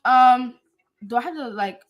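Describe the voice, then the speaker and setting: A nineteen-year-old woman chatting playfully as a guest on a livestream, excitable and slangy, often repeating herself. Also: a little high, measured pace, muffled recording. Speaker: guest, goddaughter. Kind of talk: casual livestream chat.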